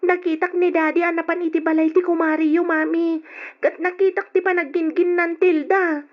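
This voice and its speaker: Very high-pitched Ilocano daughter. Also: female voice, little pitch movement, moderate pace. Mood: distressed. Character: distressed, anxious younger sister and daughter. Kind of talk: old Ilocano radio drama acting. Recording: dull lo-fi old radio recording.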